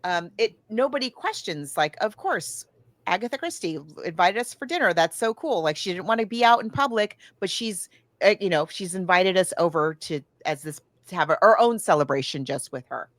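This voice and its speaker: A woman with a slightly low voice talks through a film in a casual podcast style. She is recorded dry, with little room sound. Her delivery is halting, circling back and thinking aloud as she retells the story. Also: animated, no room sound. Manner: acts out characters' lines in casual asides. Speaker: podcast co-host. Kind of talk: casual film recap chat.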